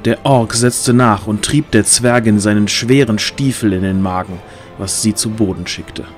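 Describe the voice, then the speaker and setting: A man's voice: fairly low pitch, moderate pace. A man narrating a fantasy audio drama in a dramatic, scripted storytelling style. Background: music.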